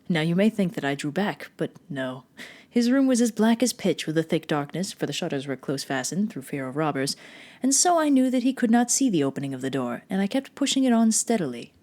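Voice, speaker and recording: A woman performing a dramatic gothic horror reading as a mad, obsessive murderer who insists on being sane, theatrical and deliberate, moving between hushed and swelling tones. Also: fairly low pitch.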